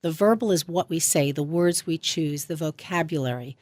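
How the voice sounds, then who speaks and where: A woman's voice: deep voice, moderate pace, darkish tone, dry recording. A middle-aged woman, a speech coach, explaining voice habits on a radio show in a studio. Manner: demonstrates voice habits with her own voice.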